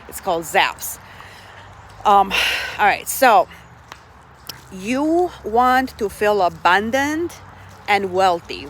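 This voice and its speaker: A woman's voice: medium pitch, a bit bright, a little hiss or hum. A middle-aged woman from Romania, giving casual life advice in an earnest way and often checking in with her listeners. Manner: earnest, keeps checking in with "okay?" and "right?".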